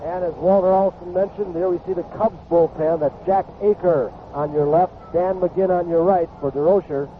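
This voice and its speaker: A high-voiced man offers live baseball color commentary. The old radio sound is thin and narrow, with a little noise in it. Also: fairly even intonation, normal speed, hardly any pauses. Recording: tinny, slightly noisy vintage radio broadcast.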